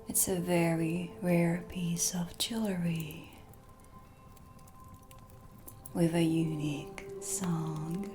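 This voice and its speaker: A woman playing a proud, mysterious dark witch in a whispered cinematic ASMR roleplay, with a breathy, hushed, deliberate delivery. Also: fairly low pitch. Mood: intrigued, secretive. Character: self-assured dark witch, mysterious and proud, in her shop. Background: music, dark ambience.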